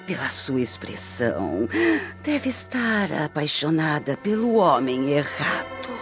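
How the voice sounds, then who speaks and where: A greedy old sorceress speaks in a mocking, theatrical drawl. Her pitch leaps and dips as she drags out each word. The radio-drama sound is slightly dull, with music underneath. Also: very animated, takes it slowly, full character voice. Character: scheming old female sorceress, greedy and sly. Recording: slightly muffled with background music.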